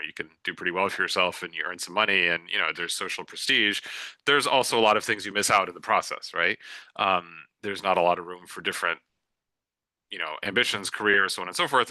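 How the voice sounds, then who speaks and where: Low, very bright-voiced man. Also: no room sound. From United States. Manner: thinking aloud, frequent "right?" tags and restarts. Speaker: guest author on a festival panel. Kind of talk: unscripted panel talk.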